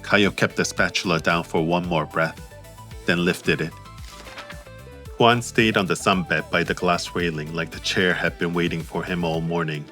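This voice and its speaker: Low-voiced man narrating an audiobook, steady and crisp in tone. Soft music underneath. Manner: measured, steady. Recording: faint music behind.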